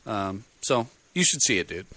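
A man in casual chat, reviewing a movie with a loud voice, rambling and full of fillers. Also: fairly low pitch, moderate pace, no echo. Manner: rambling, animated storyteller with many fillers. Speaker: podcast co-host reviewing a film.

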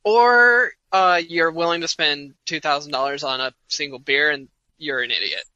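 A man's voice: fairly high pitch, normal speed, pushed and bright, clean tone, no room sound, lo-fi sound. A young man co-hosting a casual podcast chat, blunt and opinionated, with lots of fillers like "like" and "uh.". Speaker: podcast co-host.

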